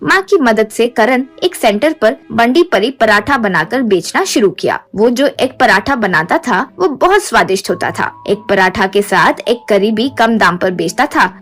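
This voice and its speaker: Cartoon storybook narrator, woman, steady delivery, light music bed. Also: medium pitch.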